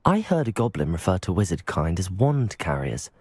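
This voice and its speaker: An actor playing a calm, cautious young student, measured and polite, in a video game. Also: male voice, sits low, husky, full character voice. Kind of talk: dramatic video game acting.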